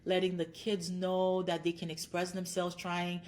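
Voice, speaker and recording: A woman's voice: lowish voice, completely flat delivery, measured pace, darkish tone. A woman psychologist from Haiti, in her thirties, answering interview questions in an earnest, encouraging way, often building her points into lists. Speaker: clinical psychologist guest. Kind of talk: podcast interview.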